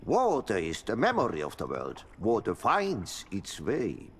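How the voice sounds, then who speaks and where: An older, cryptic Finnish janitor from a video game. His cheerful, folksy delivery swings wildly up and down in pitch and takes its time. The voice rings in a long, cavernous reverb. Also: wildly swooping pitch, measured pace, a little hiss or hum. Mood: musing, cryptic. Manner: folksy riddles, sing-song drawl.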